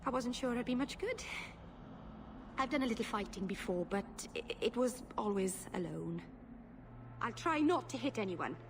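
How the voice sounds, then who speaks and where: A woman's voice: a little high, lively intonation, normal speed, background noise. An actress voicing a shy young female elf mage in a video game, nervous, apologetic and prone to rambling. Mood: bashful, babbling.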